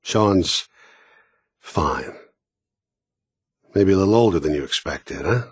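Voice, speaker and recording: Very deep, raspy American mercenary villain. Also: male voice, expressive, slow pace, no room sound, clean studio sound, exaggerated voice. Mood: grim, taunting. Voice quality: gravelly, raspy. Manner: laconic, sardonic, quietly menacing. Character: cold, world-weary middle-aged male mercenary villain. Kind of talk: video game voice acting, cinematic monologue.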